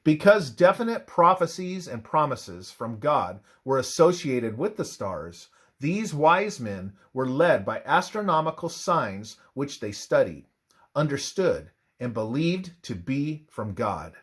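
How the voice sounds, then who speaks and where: A man reading a scripted religious narration aloud in a deliberate way, halting between short phrases. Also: medium pitch, big pitch swings, husky. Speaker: reader of a religious presentation.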